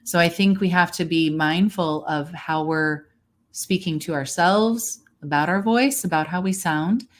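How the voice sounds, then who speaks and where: A middle-aged woman speaking as a voice coach in a podcast conversation, measured and encouraging as she advises listeners. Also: on the low side.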